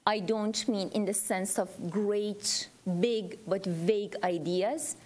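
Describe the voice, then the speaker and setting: A woman from Iran addresses a panel as a member of the audience at a public forum. Her voice sits at a medium pitch. She speaks earnestly and emphatically, repeating words to drive points home. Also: fairly even intonation, slow, a little mellow. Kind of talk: impromptu public remarks to a panel.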